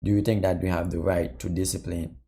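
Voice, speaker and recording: A man's voice: low voice, level tone, fairly quick, crowd noise. A man hosting a reaction video, commenting on a clip and putting questions to his viewers. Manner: thinking aloud, posing questions to viewers.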